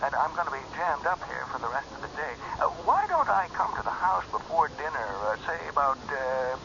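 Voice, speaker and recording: An actor playing a busy, courteous doctor with a harried, stammering delivery, in old-time radio mystery style. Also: male voice, fairly high pitch, sing-song, brisk, gravelly. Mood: harried, polite. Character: busy, flustered but polite doctor. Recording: noisy, muffled old radio recording.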